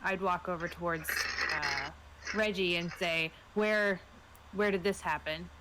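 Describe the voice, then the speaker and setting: Female tabletop player, slightly low voice, hesitant casual delivery, background noise on the recording. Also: a little slow. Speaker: player in a tabletop role-playing game. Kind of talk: casual, thinking aloud about character actions.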